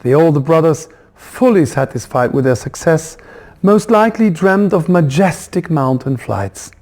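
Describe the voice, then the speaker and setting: A man reading a children's book as its author, in expressive storybook narration. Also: fairly high pitch, measured pace.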